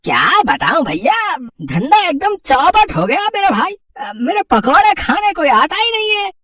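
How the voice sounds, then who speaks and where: A woman voicing a greedy, scheming male street vendor in a moral story, with a whiny, drawn-out delivery. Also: extremely high pitch, big pitch swings, pushed and bright, dry recording, studio quality, recorded from a distance, voice pushed up, exaggerated voice. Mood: whining, pleading. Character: greedy, scheming male pakora vendor, wheedling then arrogant.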